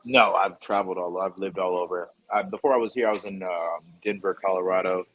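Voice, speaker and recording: A man's voice: sits low, steady tone, fairly quick, dull audio. A man hosting a casual conversation with a stranger outdoors at the beach, rambling, restarting his sentences and laughing often. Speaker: host interviewing a stranger.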